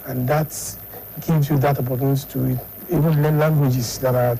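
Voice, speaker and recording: Mellow middle-aged Nigerian man, halting. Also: medium pitch, steady tone, vocal fry, slight background noise, low-quality recording. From Lagos, Nigeria. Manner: halting, repeats himself. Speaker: studio guest, sports psychologist and academic. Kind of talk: conversational interview answers.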